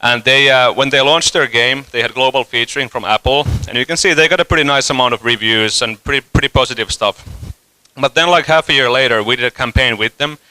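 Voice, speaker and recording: A man's voice: mid-range voice, a little fast, pushed and bright, clear, resonant tone, no echo, low-quality recording. A man in his thirties giving a casual, joking conference talk, with many fillers and restarts. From Finland. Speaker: conference speaker, company CEO. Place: conference hall stage.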